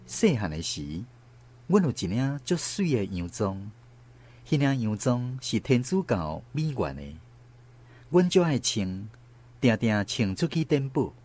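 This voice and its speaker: Lively low-pitched Taiwanese man narrating. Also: a little slow. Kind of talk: scripted first-person storytelling for radio drama. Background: faint guitar music.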